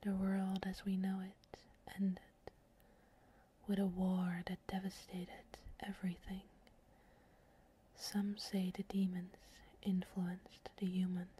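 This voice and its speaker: A woman narrating a scripted fantasy ASMR intro in a breathy whisper, measured and solemn like a storyteller. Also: medium pitch, completely flat delivery, mellow, a little hiss or hum.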